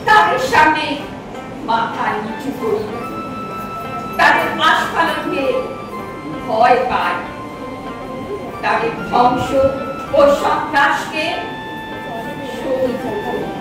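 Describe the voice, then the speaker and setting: A woman giving a dramatic poetry recitation in measured, declamatory phrases with pauses. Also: high-pitched. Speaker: recitation performer. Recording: very echoey, distant mic, music behind.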